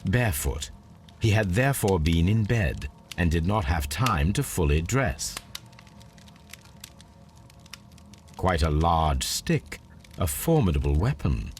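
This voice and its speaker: Victorian English detective, game. Low register with expressive swings, composed, exact, quietly deductive. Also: male voice, slight background noise. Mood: analytical. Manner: deliberate, precise, musing. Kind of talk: video game voice acting.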